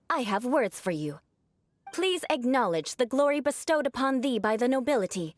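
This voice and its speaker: A woman's voice: on the high side, no echo. A woman voicing a proud young noblewoman knight in a video game, with a formal, haughty manner. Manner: haughty, formal archaic phrasing.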